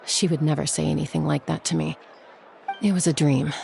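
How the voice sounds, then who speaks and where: A man's voice: a little high, fairly even intonation, a little fast, gravelly, a little mellow, slight background noise. A man voicing a proud, blunt young man in a video game, with a wry, guarded delivery. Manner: wry, matter-of-fact.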